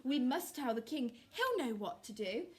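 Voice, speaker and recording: Fussy female hen done by a woman, high voice, clucking, flustered, motherly storybook animal. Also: big pitch swings, normal speed.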